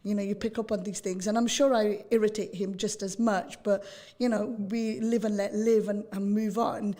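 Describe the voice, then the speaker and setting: A woman hosting a radio interview, chatty and candid, with a naturally loud voice. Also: mid-range voice, flat delivery, moderate pace. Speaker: radio host. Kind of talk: casual radio interview chat.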